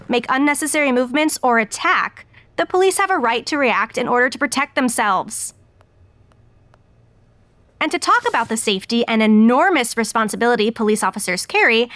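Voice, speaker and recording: A partisan talk show hostess acted by a woman in an audio drama. She is stern and pointed, with a fairly high voice in a close, dry studio sound. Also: a bit bright, no echo. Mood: indignant, stern. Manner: assertive, pointed, leading.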